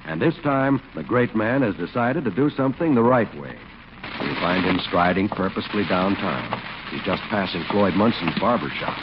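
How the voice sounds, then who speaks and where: A man's voice: deep voice, animated, moderate pace. A man announcing and narrating from a script in polished, emphatic 1940s radio style. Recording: muffled 1940s radio recording with hiss.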